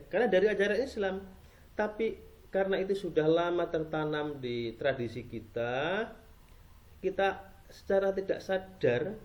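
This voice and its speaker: A man speaks a little higher than most men. He keeps asking rhetorical questions and answering them himself, in a loose, explaining way. The recording sounds dull and lo-fi. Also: darkish tone. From Java, Indonesia. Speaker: speaker on Islam and culture. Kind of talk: informal explanatory talk.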